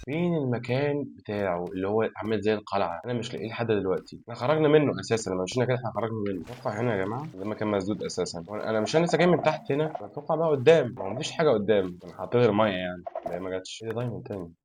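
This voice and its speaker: A man with an Egyptian Arabic accent giving let's-play commentary to his viewers. He is tired and has a sore throat, and he sounds chatty, excitable and exasperated. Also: on the low side, racing, hardly any pauses, background noise. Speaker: gaming channel host.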